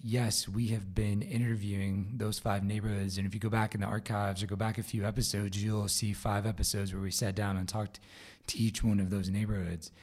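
A man with a low, raspy voice, hoarse from a vocal cord problem, hosts his podcast alone. He talks in a loose, casual way, full of fillers and restarts. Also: darkish tone. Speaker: podcast host. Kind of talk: solo podcast update.

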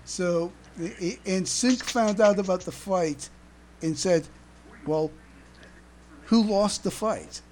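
A podcast host chatting casually in a halting way, often stammering and repeating words. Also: mid-range voice, darkish tone. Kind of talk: casual chat. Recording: clear background noise.

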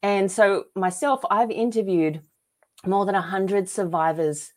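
A woman's voice: mid-range voice, measured pace, a little mellow. A woman with an Australian accent, speaking earnestly and emphatically as a guest telling personal stories in a conversational interview. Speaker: podcast guest, author and filmmaker.

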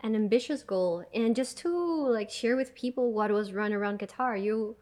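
A woman's voice: fairly high pitch, moderate pace, darkish tone. A woman hosting a mindfulness podcast who interviews her guest in a friendly, conversational way. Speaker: podcast host.

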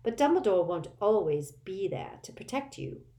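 A woman's voice: mid-range voice, very animated, normal speed, warm tone, pitched above the performer's own voice. A woman voicing a cold, haughty aristocratic witch mother who speaks with contempt.

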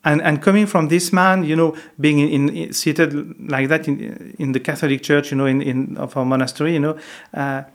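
A man's voice: a little high, steady tone, normal speed, few pauses. A man from France with a French accent, a monk telling stories in an interview, hesitant with many fillers and restarts. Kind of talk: reflective interview storytelling.

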